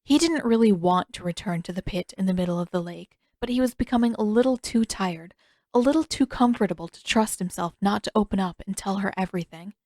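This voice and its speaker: A woman's voice: mid-range voice, fairly quick, darkish tone, no echo, clean studio sound. A woman reading scripted fiction aloud as an audiobook narrator.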